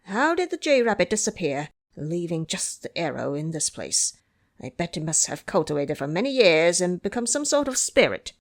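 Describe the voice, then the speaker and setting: Woman as a noble young prince, expressive. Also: lowish voice, moderate pace, voice pushed down. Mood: astonished, puzzled. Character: noble young crown prince, proud hunter. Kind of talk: audio drama character reading.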